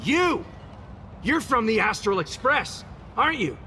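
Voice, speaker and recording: A man's voice: very high-pitched, sing-song, unhurried, sharp tone, a little hiss or hum, heavily acted. An actor playing a hostile, scolding townsman in a video game, accusing and disdainful. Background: background music.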